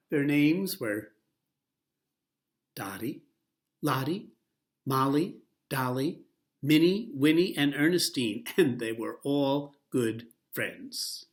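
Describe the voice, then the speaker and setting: A man reading a picture book aloud as a storyteller, with a lively, expressive delivery. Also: mid-range voice.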